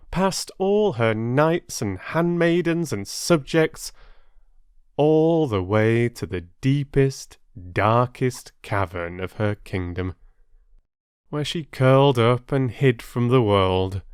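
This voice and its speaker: A man narrating a children's story expressively as a storyteller, in a measured way with dramatic pauses. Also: medium pitch, smooth, dry recording, clean studio sound.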